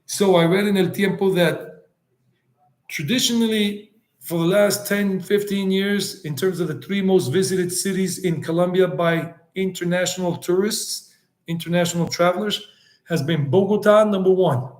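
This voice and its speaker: A man talks casually on a live stream, rambling from point to point, answering viewers and dropping Spanish words into his talk. His voice sits a bit high for a man. The room gives it a noticeable echo. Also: moderate pace, darkish tone. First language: English. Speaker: travel vlogger hosting a live stream.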